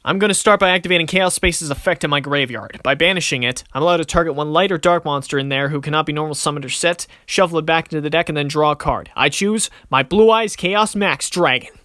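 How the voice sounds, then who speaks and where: A man's voice: on the high side, fast, few pauses, bright, forceful tone, dry recording, clean studio sound. A man playing a cocky card duelist in an anime-style series, speaking in a theatrical, smug, declamatory way. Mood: determined. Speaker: voice actor in a fan dueling series. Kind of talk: anime-style dramatic battle dialogue.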